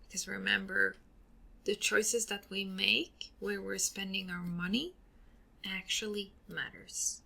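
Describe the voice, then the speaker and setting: A woman from Sweden with a Swedish accent, hosting a solo podcast and giving earnest advice, often trailing off into breathy ends. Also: mid-range voice, steady tone, takes it slowly, sharp tone. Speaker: podcast host.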